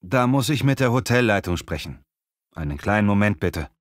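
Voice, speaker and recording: A man acts as a polite hotel receptionist in a scripted lesson dialogue. His voice is a little low. He speaks in a formal, helpful manner. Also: lively intonation, moderate pace, slight background noise, close to an everyday voice.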